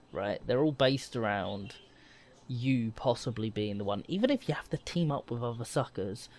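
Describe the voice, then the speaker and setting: A man with a mid-pitched voice chats casually as a podcast co-host. He rambles along in a loose, self-deprecating way. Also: a little hiss or hum. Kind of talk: casual chat.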